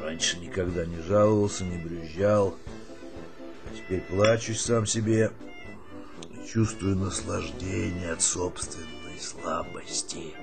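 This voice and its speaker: An actor playing a weary old army colonel in a radio drama, grumbling, sighing and brusque. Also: male voice, deep voice, slow pace, creaky, music in the background, full character voice. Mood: weary, self-pitying. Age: older.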